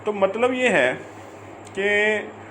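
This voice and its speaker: A man with a Pakistani accent giving an informal law lecture, halting and repeating phrases as he reads out and explains. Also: high-pitched, lively intonation, sharp tone, slight background noise. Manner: halting, repeats phrases, stretches out English words when reading. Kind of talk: informal lecture, reading English statute and explaining it.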